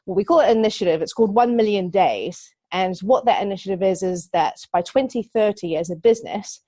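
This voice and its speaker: A woman in her thirties speaks with a New Zealand accent, earnest and thinking aloud, with plenty of ums. Her pitch sits mid-range for a woman. The sound is close and dry, with no room around it. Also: brisk, dark tone, no echo. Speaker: guest, digital marketing agency owner. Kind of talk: podcast interview.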